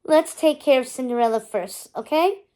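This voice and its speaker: A woman voicing a calm, fair-minded fairy in a storybook, with a measured, approving manner. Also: sits high.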